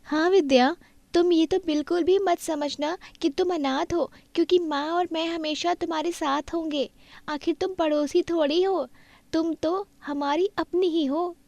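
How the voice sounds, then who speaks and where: A woman's voice: very high voice, steady tone, a little slow, creaky, darkish tone, dry recording, heavily acted. A woman playing a sweet-talking, scheming young neighbour's daughter in a cartoon moral story. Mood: sweet, put-upon.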